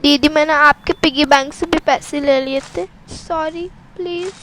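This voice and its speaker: A woman's voice: high voice, steady tone, measured pace, background noise. A woman voicing a scared little girl who pleads, sulks and stammers. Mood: sad. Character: small scared girl, sulky and tearful.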